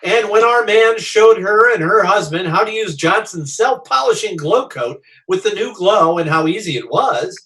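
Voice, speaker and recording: A man's voice: high voice, pushed and bright. A man playing a chummy, earnest salesman in old-time radio comedy style, full of eager sales patter. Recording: distant from the microphone.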